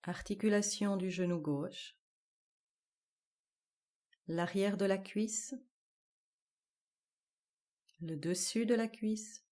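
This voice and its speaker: Woman, yoga relaxation guide, very slow delivery, warm relaxed tone, calm measured phrasing. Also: mid-range voice. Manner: calm, measured instruction with spaced-out short phrases.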